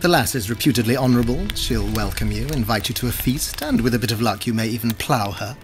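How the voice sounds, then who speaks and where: An actor playing a sly, arrogant, cynical court mage in a video game, speaking formally and smoothly with condescension. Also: male voice, mid-range voice, quick pace, over music, lightly acted. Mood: sly, instructive. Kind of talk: video game dialogue acting.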